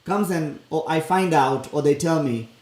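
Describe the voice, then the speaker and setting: A man's voice: fairly high pitch, normal speed, a little mellow. A man co-hosting a casual talk show, speaking in a thoughtful, hesitant way with many fillers. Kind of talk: casual chat. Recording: echoey room.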